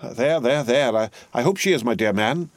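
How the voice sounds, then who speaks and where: Male reader as distraught gentlewoman: higher pitch, earnest pleading, dry sound. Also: animated, moderate pace, no echo, lightly acted. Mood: anxious. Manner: wild, breathless distress. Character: agitated, tearful English lady companion.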